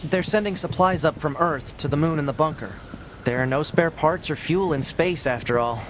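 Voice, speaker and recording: A man playing a boyish, curious young android soldier in a video game, talking in an expressive, conversational way. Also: fairly high pitch, moderate pace, noisy background, natural voice. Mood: casual, musing.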